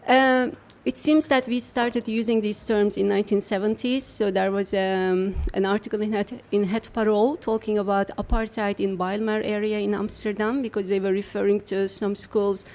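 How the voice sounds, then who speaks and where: A woman university researcher, a radio guest, explains her work in long, measured answers. Her voice sits at a middling pitch, and she pauses often on fillers as she builds each point. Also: little pitch movement, darkish tone.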